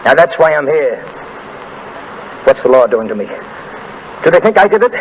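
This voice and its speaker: An actor playing an edgy, desperate gangster with tough, slangy talk in a 1930s radio drama. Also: male voice, a little high, big pitch swings, moderate pace. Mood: insistent, aggrieved. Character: edgy, desperate gun-toting male gangster. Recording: muffled, noisy 1930s radio broadcast.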